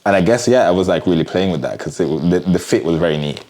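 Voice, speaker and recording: A man's voice: deep voice, lively intonation, rapid-fire, darkish tone. A man from the Caribbean, a guest in an interview, talking things through thoughtfully with many fillers and hedges. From Jamaica and the Cayman Islands. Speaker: guest, fashion designer. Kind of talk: casual interview conversation.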